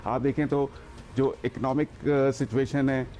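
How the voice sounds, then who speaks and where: A man from Pakistan, a guest on a talk show, explaining his field in a measured way with many pauses. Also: medium pitch, flat delivery, dark tone, soft background music. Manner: measured, many hesitation sounds. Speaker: guest air traffic expert.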